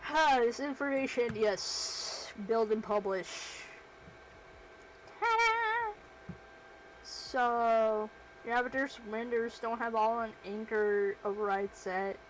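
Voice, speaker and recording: A woman streamer talks casually to her viewers as she works, rambling and making fun of herself. Her voice sits a bit high, and she speaks at an unhurried, slow pace. Faint noise hums behind her. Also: rough recording. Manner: chatty, rambling, self-deprecating. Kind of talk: casual livestream chat while working.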